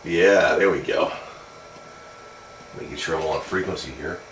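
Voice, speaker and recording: Low-voiced man on noisy CB radio. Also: unhurried. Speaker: hobbyist CB radio operator. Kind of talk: casual two-way radio chat. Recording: noisy, roomy CB radio recording.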